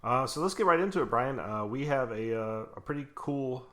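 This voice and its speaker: A man hosting a podcast, chatting casually in a slightly hoarse, raspy voice, rambling with lots of fillers. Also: medium pitch, animated, moderate pace, a bit bright. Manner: rambling, many fillers and restarts. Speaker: podcast host. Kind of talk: casual chat.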